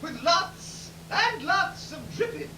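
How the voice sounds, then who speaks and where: A woman playing a gushing, saucy comic cook in an amateur pantomime, with drawn-out theatrical delivery. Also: extremely high pitch, lively intonation, heavily acted. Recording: distant echoey bar, crowd and noise.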